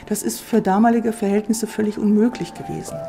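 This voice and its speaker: A woman's voice: medium pitch, steady tone, fairly quick, gravelly, loud music behind the voice. A woman speaking spontaneously as an expert interviewee, explaining things in conversational sentences.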